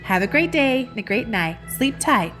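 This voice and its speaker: A woman's voice: fairly high pitch, big pitch swings, moderate pace, fairly bright tone. A woman reads a picture book aloud expressively, with affectionate, chatty asides to the listener. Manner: affectionate, addresses the listener as sweetheart. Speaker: children's storybook reader and host. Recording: music behind the voice. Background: music.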